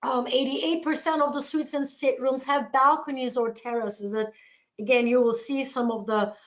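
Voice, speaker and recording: A woman with a Turkish accent speaks in a fairly high voice as she presents travel products in an informal webinar. Her delivery is enthusiastic, and the audio is dull and lo-fi. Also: steady tone, moderate pace. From Turkey.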